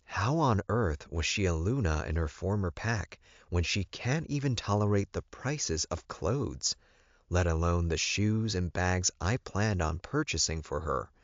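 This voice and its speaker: A man's voice: low voice, animated, measured pace, dry recording, muffled recording. A man reading first-person fiction aloud as an audiobook narrator, in a scripted storytelling style.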